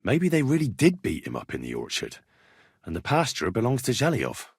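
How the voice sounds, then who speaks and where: A man playing a brash, blunt young medieval squire in a video game, with a husky voice. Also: mid-range voice, huge pitch swings, fairly quick, no echo, studio quality, full character voice. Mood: firm. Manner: blunt, colloquial, assertive. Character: brash young medieval Bohemian squire, plain-spoken and quick-tempered. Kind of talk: video game dialogue acting.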